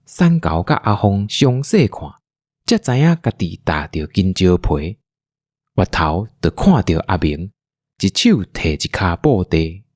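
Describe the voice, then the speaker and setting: A man with a Taiwanese accent narrating a scripted radio drama in an animated, dramatic storytelling manner. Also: low voice, measured pace, dry recording, clean studio sound.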